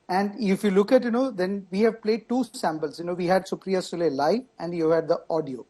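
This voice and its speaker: A man's voice: high voice, darkish tone. A party spokesperson with an Indian English accent, arguing insistently on a TV panel debate and repeating words as he presses his point.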